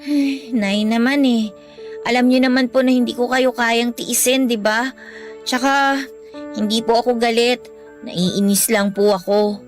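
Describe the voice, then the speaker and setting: An actress as a young Filipino daughter in a radio drama, with a high voice. She is polite, earnest and devoted, a little sulky, with music playing behind her. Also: steady tone, measured pace, close to an everyday voice. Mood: mildly sulky.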